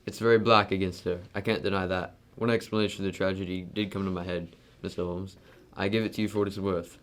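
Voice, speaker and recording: A man's voice: deep voice, level tone, fast talker, lightly acted. A man playing a proud, defensive wealthy husband in an amateur radio drama, speaking bluntly. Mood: grave, explaining.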